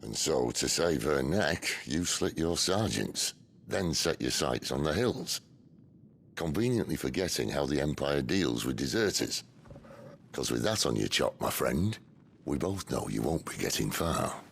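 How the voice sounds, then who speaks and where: A man voicing a wry middle-aged rebel leader in a video game, with a gravelly British voice and a dry, sardonic, conversational delivery. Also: extremely low pitch, very animated, a little slow, a little hiss or hum, heavily acted. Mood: sardonic, probing. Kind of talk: video game cutscene acting.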